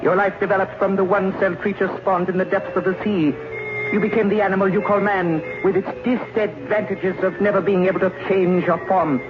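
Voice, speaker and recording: An actor playing a cold alien invader posing as a man, speaking formally and deliberately in an old radio drama. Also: high-pitched, steady tone, music in the background, lightly acted. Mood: cold, explanatory. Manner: formal, deliberate speech without contractions. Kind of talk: 1950s radio drama acting. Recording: noisy, muffled old radio recording.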